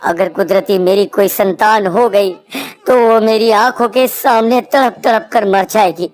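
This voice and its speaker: Woman playing a slow, sorrowful cartoon king. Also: medium pitch, darkish tone, close to an everyday voice. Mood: despairing. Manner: pleading, sorrowful, dramatic. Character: remorseful, fearful, humble king. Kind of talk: cartoon character acting.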